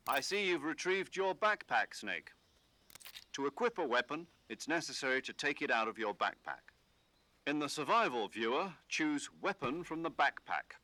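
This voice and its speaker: An actor playing a calm, authoritative military commander, giving measured, scripted mission briefings in a video game. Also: male voice, on the high side. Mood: instructive.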